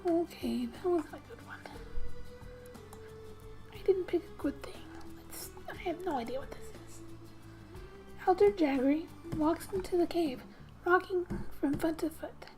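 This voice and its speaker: A woman with a very high, soft voice and a mellow, warm tone. She reads game story text in a gentle ASMR style, breathy and often whispered, with casual little asides. Faint music plays behind her. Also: a little slow, pauses often, poor audio. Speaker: gaming ASMR creator. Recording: quiet background music.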